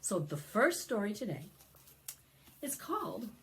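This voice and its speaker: A woman presenting storytime for young children, singing and reading aloud in an animated, sing-song way that coaxes them to join in. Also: mid-range voice, a little slow, slight background noise. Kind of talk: interactive preschool storytime, singing and read-aloud.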